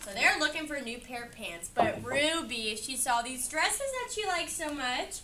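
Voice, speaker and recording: A woman's voice: high voice, sing-song, moderate pace, pushed and bright. An American woman reading a picture book aloud interactively, chatting, asking questions and encouragingly echoing the answers. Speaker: picture-book reader with a child. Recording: noisy room, distant microphone.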